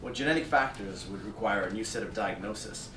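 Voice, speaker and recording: Male actor as harried on-call doctor, blunt and halting, stage drama, recorded from afar with clear noise. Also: fairly low pitch, reverberant, recorded from a distance, close to an everyday voice. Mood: pressing, matter-of-fact. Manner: halting, pressing with questions. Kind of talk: staged play reading. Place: theatre stage, indoors. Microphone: far camera.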